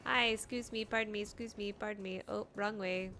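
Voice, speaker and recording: A woman's voice: mid-range voice, fairly even intonation, a little fast, sharp tone, soft background music. A woman giving casual, playful livestream commentary as she plays a game, reacting as she goes. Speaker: game streamer.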